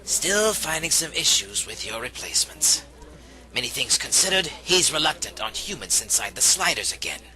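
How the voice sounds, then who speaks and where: A man's voice: mid-range voice, sing-song, normal speed, pushed and bright, poor audio. A man playing an earnest, polite teammate in an audio drama, with careful, slightly formal phrasing. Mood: earnest, friendly. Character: earnest, polite male teammate, reformed from stiffness.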